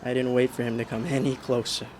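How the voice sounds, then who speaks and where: Actor as haunted, halting man over background noise. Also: mid-range voice, flat delivery, darkish tone, lightly acted. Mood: weary, uneasy. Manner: halting, mutters to himself. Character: frightened, exhausted male driver haunted by a phantom. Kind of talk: radio drama monologue and dialogue.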